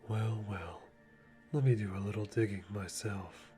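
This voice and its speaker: A man playing a calm, methodical hitman, musing to himself softly and deliberately with dry amusement in an ASMR roleplay. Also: on the low side, lightly acted. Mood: calm, calculating. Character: calm, methodical male hitman planning a kill. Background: music.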